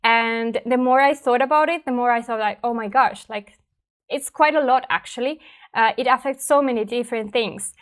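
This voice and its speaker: A woman's voice: on the high side, normal speed. A young adult woman with a Finnish accent, a language teacher talking thoughtfully and conversationally to her viewers. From Finland. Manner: thoughtful, conversational, frequent "right?" and fillers. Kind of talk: reflective personal talk to camera.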